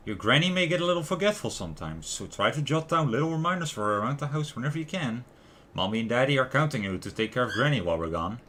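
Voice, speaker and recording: A man livestreaming a video game, talking casually and haltingly and reading game text aloud. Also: lowish voice, slight background noise. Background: soft background music.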